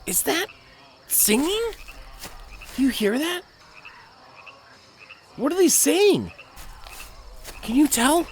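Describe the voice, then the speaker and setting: A man's voice: extremely high pitch, very animated, extremely slow, long pauses, a little hiss or hum, heavily acted. A man playing a nervous, inquisitive young companion in an audio drama, eagerly questioning and easily alarmed. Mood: frightened.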